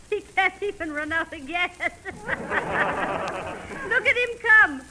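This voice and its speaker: Wry, scolding old-radio wife. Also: female voice, very high voice, lively intonation, slow pace, pushed and bright, full character voice. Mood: amused, teasing. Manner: dry, folksy, needling. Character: wry scolding middle-aged wife, fondly teasing. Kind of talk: 1940s radio comedy acting. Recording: noisy vintage 1940s radio recording.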